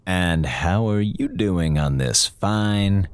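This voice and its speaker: A man's voice: low voice, animated, slow pace, clear, resonant tone. A man playing an over-eager, evasive space station officer in an audio drama, rambling and stammering with theatrical casualness. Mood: falsely casual, nervous.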